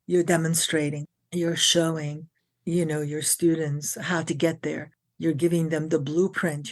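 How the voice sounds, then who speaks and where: A woman's voice: low voice, darkish tone. A middle-aged woman from France, a guest on a podcast interview, speaking articulately and emphatically about teaching and directing actors. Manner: articulate and emphatic, builds long lists of phrases.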